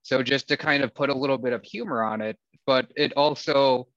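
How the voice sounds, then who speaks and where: A man's voice: medium pitch, fairly even intonation, brisk, fairly bright tone, dry recording. A man with a Wisconsin accent, speaking as a guest in candid talk with a sarcastic, dry wit. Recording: dull lo-fi sound, dry.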